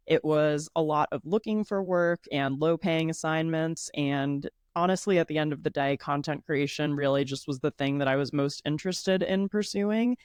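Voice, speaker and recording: A woman's voice: low-pitched, clean tone, no room sound, clean studio sound. A woman in her thirties hosting a casual solo podcast, chatty and upbeat, sounding a little congested. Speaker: podcast host.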